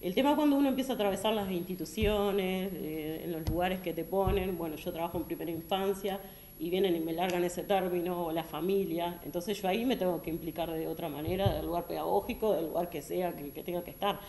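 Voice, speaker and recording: A woman answers on a panel in an earnest, reflective way. Her voice sits a little low and carries a roomy echo, with faint noise behind. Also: fairly quick, warm tone. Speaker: panelist, artist and early-childhood educator. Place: echoey hall. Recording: echoey hall.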